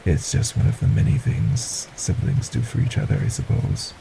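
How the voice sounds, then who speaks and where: A man voicing a polite, sinister male stranger in an audio roleplay, speaking formally and courteously with a soft, breathy menace. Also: normal speed, slight background noise, close to an everyday voice, rough recording. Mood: smooth, courteous.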